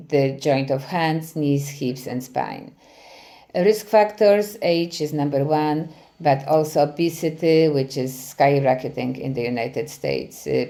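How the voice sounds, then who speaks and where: A middle-aged woman from Poland with a Polish accent, giving a conference presentation as a physical therapy professor, often pausing with "uh" fillers. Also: low voice, mellow. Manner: frequent hesitations with many "uh" fillers.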